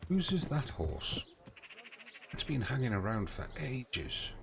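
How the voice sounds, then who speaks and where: A man's voice: mid-range voice, sing-song, takes it slowly, vocal fry, background noise. A man doing casual gameplay commentary, muttering short remarks to himself and to the game. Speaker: game streamer. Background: game music and horse hoof sounds.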